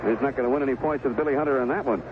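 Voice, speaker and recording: An American man calling baseball play-by-play on the radio, in clipped phrases with unhurried pauses. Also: mid-range voice. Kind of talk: live baseball radio commentary. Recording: tinny, noisy 1970s AM radio broadcast.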